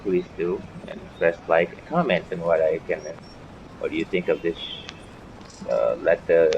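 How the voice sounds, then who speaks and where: A man with a low voice hosts a gaming playthrough in a casual chatty style. The sound is a bit dull, with faint noise behind him. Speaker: gaming video host.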